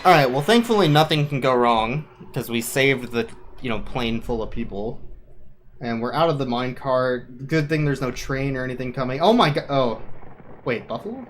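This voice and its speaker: A man's voice: medium pitch, expressive, moderate pace, a little hiss or hum. A man giving casual, wry, offhand commentary on a live video game speedrun. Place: live gaming event stream with game music behind.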